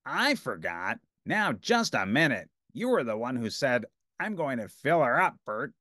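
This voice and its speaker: A man's voice: medium pitch, big pitch swings, measured pace, pushed and bright, no echo, clean studio sound. A man voicing a fussy, long-suffering puppet roommate for a children's storybook, earnest and grumbling. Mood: exasperated.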